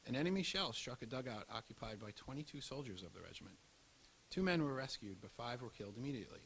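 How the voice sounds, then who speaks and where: A man's voice: mid-range voice, expressive, fast talker, gravelly, fairly bright tone, low-quality recording. A man reading a scripted historical narration. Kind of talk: scripted documentary narration read aloud. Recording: audible hiss and background noise.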